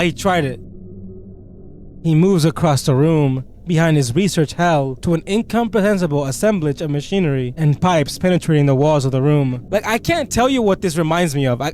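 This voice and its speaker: A man commentating on a playthrough as he goes, reading game text aloud and reacting in a slangy, incredulous, expressive way. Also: on the high side, slight background noise. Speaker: gaming video creator.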